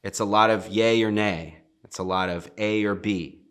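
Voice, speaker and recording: A man's voice: fairly low pitch, fairly even intonation, moderate pace, fairly bright tone, smooth. A man on a theatre production team, explaining the work in interview style. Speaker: theatre production staff member.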